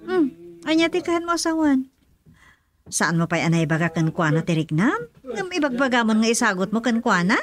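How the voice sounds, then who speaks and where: Woman acting a teasing, high-voiced female friend. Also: big pitch swings, normal speed. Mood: curious, probing. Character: Jenna, inquisitive, teasing woman friend of the farmer. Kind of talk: Ilocano radio drama acting.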